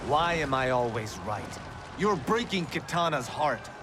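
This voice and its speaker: Man acting a cocky monk, animated delivery. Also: medium pitch, a little slow, noisy background, natural voice. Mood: indignant. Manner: sardonic, blunt. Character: cocky wisecracking young male monk fighter. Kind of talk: video game cutscene acting.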